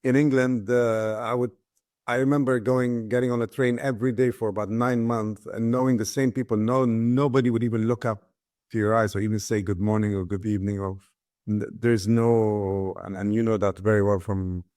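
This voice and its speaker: A man's voice: fairly low pitch, fairly even intonation, moderate pace, a little mellow, no echo, clean studio sound. A middle-aged man from Ghana, a guest telling his life story in a thoughtful way, often restarting and repeating his words. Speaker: guest, business founder and author. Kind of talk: reflective personal storytelling.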